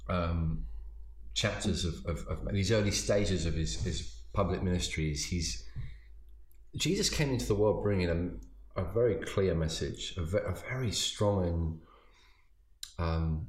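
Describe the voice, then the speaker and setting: A man, a pastor, casually summarizing his sermon, often halting and repeating words as he thinks. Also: sits low. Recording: roomy echo.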